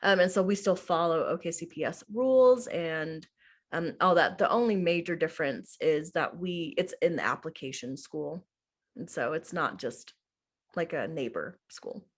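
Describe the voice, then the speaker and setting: A woman's voice: low voice, animated, moderate pace. Chatty young woman teacher, lots of "like". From United States. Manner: chatty, heavy use of "like" and "um". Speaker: middle school speech and debate teacher. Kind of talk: casual interview answers.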